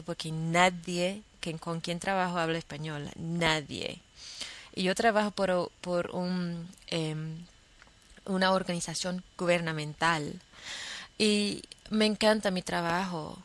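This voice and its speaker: A young adult woman hosting her own podcast, talking about her life in a halting, unscripted monologue full of fillers and restarts, in a with as her first. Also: lowish voice, creaky. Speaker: podcast host. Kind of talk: casual personal monologue.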